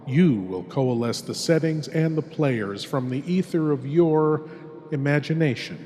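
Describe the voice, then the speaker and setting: A man hosting a horror radio show, narrating a scripted introduction in a slow, hushed and theatrical way. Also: medium pitch. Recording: long reverb, as in a hall.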